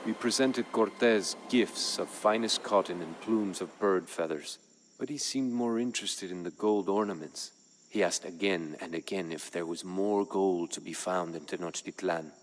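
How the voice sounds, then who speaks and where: A man playing a proud, grave Aztec jaguar warrior who solemnly recounts his people's fall as a strategy game's campaign narrator, in a measured, dramatic way. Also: low-pitched, a little hiss or hum, natural voice, rough recording. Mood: solemn storytelling. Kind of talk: solemn scripted storytelling narration for a strategy game.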